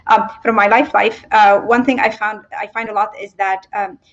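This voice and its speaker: A woman's voice: mid-range voice, steady tone, normal speed. Earnest woman on dull lo-fi audio. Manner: earnest, reflective. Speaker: panelist. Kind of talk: conversational panel discussion.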